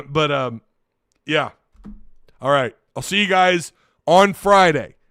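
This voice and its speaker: A man's voice: a little high, animated, slow pace, sharp tone, clear, resonant tone, no room sound, clean studio sound. A man hosting a casual solo sports recap, tired and losing his voice, rambling with frequent restarts. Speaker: sports podcast host.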